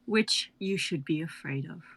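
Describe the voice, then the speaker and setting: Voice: medium pitch, a little slow, fairly bright tone, slightly rough, low-quality recording. Confident, cutting sorceress voice, read by a performer. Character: fearless female sorceress, claims to be a god. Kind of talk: live dramatic reading.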